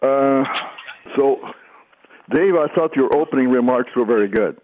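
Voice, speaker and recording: A man's voice: medium pitch, moderate pace. A man calling in as an investor, making conversational comments on an earnings call. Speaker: investor caller. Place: conference call. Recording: tinny, slightly noisy phone line.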